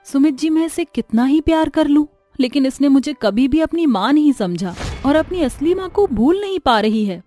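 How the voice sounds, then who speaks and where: A woman's voice: high voice, lively intonation, normal speed, few pauses, dark tone, soft background music, voice pushed up. Woman acting a plaintive, melodramatic middle-aged stepmother. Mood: complaining. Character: harsh, scheming, later remorseful middle-aged stepmother.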